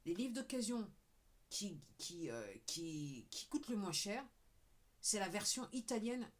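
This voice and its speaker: A woman's voice: medium pitch, very animated, unhurried. A woman talking casually to her viewers, rambling with frequent "ok"s and now and then dropping to a murmur. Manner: rambling, repeats "ok", sometimes drops to a murmur; addresses viewers directly. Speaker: video creator. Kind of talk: casual talking-head monologue.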